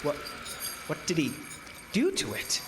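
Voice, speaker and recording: An actor playing a blunt, skeptical, exasperated carnival boss in a horror audio drama. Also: male voice, on the high side, wildly swooping pitch, measured pace, noisy background. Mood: stunned, agitated. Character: gruff, skeptical, harried male carnival boss. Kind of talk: horror audio drama acting with first-person narration.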